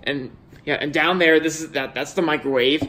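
A young man with a slightly high voice walks through his new house with a phone camera and points things out as he goes. His talk rambles, with words repeated and sentences restarted. It sounds casual and unscripted, recorded on the phone inside the home. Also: steady tone, normal speed, fairly bright tone, a little hiss or hum, low-quality recording. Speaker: vlogger giving a house tour. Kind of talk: casual house tour narration. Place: indoors in a house, walking from room to room. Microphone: handheld phone camera held by the speaker, off screen.

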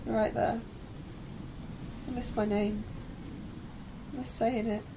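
An anxious, higher-voiced scientist. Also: female voice, flat delivery, takes it slowly, noisy background. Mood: troubled. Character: anxious, troubled young woman scientist confiding her fears. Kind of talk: audio drama acting, diary-like monologue and dialogue.

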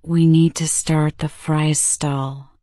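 Woman playing a soft-spoken older grandmother, slightly low, slow, dry close sound. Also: little pitch movement, dry recording. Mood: thoughtful. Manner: soft, gentle speech. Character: grieving grandmother, gentle and caring.

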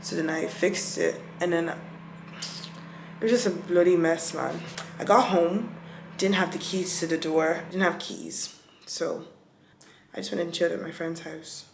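A young woman in her twenties talks straight into her phone at arm's length, rambling through her day with a tired, laughing ease. Her voice sits a little low. The room gives it a noticeable echo, with steady noise behind her. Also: a little slow, creaky. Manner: chatty, rambling, laughs often. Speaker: vlogger. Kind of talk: casual vlog chat to camera. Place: indoors at home, bedroom. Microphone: phone held at arm's length.

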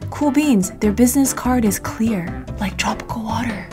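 Woman, voice-over narration, cheerful, punny, slightly high voice, background music. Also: moderate pace. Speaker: vlog host narrating. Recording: music bed under the voice.

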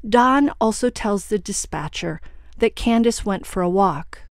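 A woman narrates true-crime commentary from a script, with a pointed, questioning delivery. Her voice sits at a middle pitch, recorded dry with very little room sound. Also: expressive, measured pace, no room sound.

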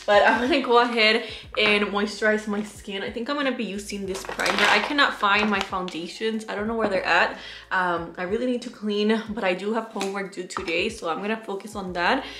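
A woman's voice: medium pitch, steady tone, fairly quick, background noise. Chatty young woman vlogging in a roomy bedroom. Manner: chatty, filler-heavy, addresses viewers as "you guys". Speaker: beauty vlogger. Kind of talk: casual chat to camera. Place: small bedroom, indoors.

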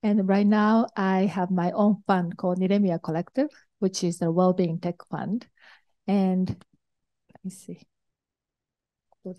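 A woman's voice: mid-range voice, flat delivery, slow pace, long pauses, lo-fi sound. Japanese-accented woman giving a talk. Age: middle-aged. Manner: hesitant, many ums and restarts. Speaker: investor, conference speaker. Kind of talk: conference presentation. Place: conference hall.

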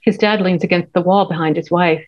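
A woman's voice: on the low side, fairly even intonation, brisk, darkish tone, highs cut off. A middle-aged woman reading stage directions as scripted narration at a table read. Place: home office, indoors.

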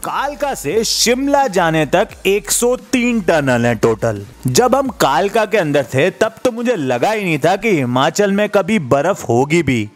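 Excited man narrating a train trip. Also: high voice, a little slow, hardly any pauses. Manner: storytelling, chatty and excited. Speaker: travel vlogger. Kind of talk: voice-over travel narration.

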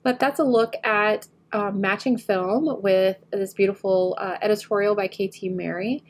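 A woman getting over a head cold, slightly nasal, talking through a photo editing tutorial as she works and often trailing off quietly. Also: medium pitch, steady tone, normal speed. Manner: thinks aloud while working, often trailing off quietly. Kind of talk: screen-recorded editing tutorial.

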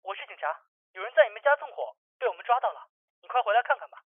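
A man acting a brisk police officer through a telephone filter in an amateur Chinese audio drama. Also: high-pitched, animated, slightly rough. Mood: brisk, official. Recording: tinny phone line with long reverb.

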